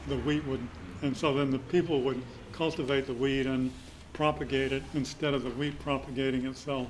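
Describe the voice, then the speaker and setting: A man in the audience talks in a mid-pitched voice, meandering and hesitant, with many fillers. Faint room noise sits under his voice. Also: fairly even intonation, moderate pace. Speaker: audience member. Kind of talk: casual question from the audience. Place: community room.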